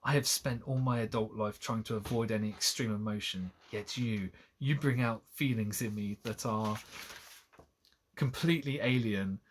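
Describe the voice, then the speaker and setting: A man voicing a brooding, jealous and possessive male lover from a romance novel, in an intense, halting delivery. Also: on the low side, slight background noise, lightly acted. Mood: intense, uneasy.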